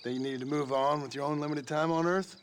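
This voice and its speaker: An actor playing a weathered, sardonic middle-aged outlaw in a Western game, with an American accent and a gravelly, laconic delivery. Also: male voice, a little high, fairly even intonation, fast, a little hiss or hum, lightly acted. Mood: impatient, sardonic. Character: weathered, dry-humored middle-aged male Western outlaw turned lawman's ally.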